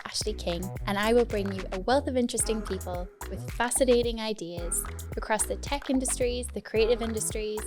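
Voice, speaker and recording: A woman hosting a podcast interview in a studio, talking in an upbeat, encouraging way. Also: mid-range voice. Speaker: podcast host.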